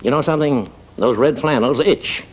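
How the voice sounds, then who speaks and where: A man's voice: mid-range voice, moderate pace, lightly acted. An actor playing a blustery, vain doctor father in a 1950s radio sitcom, grumbling and sputtering. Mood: indignant grumbling. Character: blustery, vain small-town doctor father, easily flattered. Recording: muffled, noisy old radio recording.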